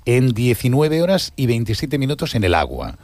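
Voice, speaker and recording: A man's voice: medium pitch, huge pitch swings, normal speed, no room sound. A male host interviewing a guest, recapping facts and affirming often with short agreements. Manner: warm, affirming, recaps facts, many "claro". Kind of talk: radio-style interview.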